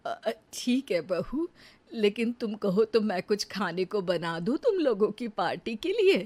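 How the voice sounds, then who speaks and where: Woman acting a slow, gentle old village mother. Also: medium pitch, animated, no echo. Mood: gentle, good-humoured. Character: humble, kindly old village mother-in-law.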